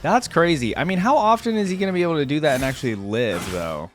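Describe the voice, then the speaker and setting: A man's voice: on the high side, expressive, fast talker, over music. A man hosting a reaction video, talking in a casual, unscripted, rambling conversational way. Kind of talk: casual, unscripted reaction commentary to camera or screen.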